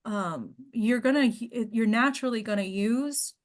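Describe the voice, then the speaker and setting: A woman's voice: on the high side, a little slow. A woman hosting and coaching a casual group discussion, explaining things in an encouraging way. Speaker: host and acting coach.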